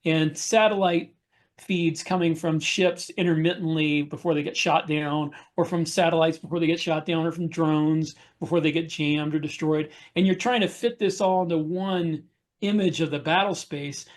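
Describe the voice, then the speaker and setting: Male podcast co-host, voice a bit high, casual explanatory delivery with pauses mid-thought. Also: fairly even intonation. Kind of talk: casual discussion of writing military science fiction.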